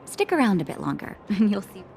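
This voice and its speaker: An actress voicing a caring, motherly woman in a video game, speaking gently and fondly with some worry. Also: medium pitch, big pitch swings, fairly quick, gravelly, a little hiss or hum. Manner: gentle and fond, worried. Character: caring, motherly woman who raised the heroine.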